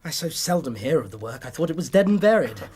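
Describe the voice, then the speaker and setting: An actor playing a polite, modest young English doctor in a period radio drama, speaking with a courteous, hesitant and precise manner. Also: male voice, a little high, big pitch swings, fast, darkish tone, breathy edge. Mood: polite, nervous. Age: young adult. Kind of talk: period radio drama acting, narrating his story.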